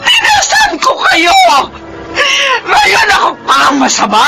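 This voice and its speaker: A woman's voice: very high-pitched, huge pitch swings, a little slow, vocal fry, shouting, over loud music, exaggerated voice, poor audio. An actress playing a weary, overburdened Filipino mother of troubled grown children, in an emotional radio drama style. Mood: tearful outburst. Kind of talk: Philippine radio drama acting.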